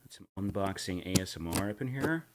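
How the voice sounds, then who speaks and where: A man hosting a casual livestream, slightly hoarse, rambling and warmly thanking viewers for tips. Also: lively intonation, a little fast, heavy background noise. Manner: rambling, thanks tippers, breaks into silly high voices. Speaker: livestream host.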